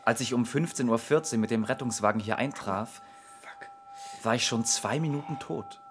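A man's voice: medium pitch, moderate pace, natural voice. An actor in a radio play voicing the matter-of-fact ghost of a man in his twenties, narrating with detachment. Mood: detached, wistful. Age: 20s. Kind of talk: radio drama monologue. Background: music.

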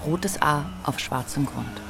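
An actress playing the composed narrator of a literary radio drama. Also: female voice, sits low, takes it slowly, creaky, heavily acted. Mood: composed, storytelling.

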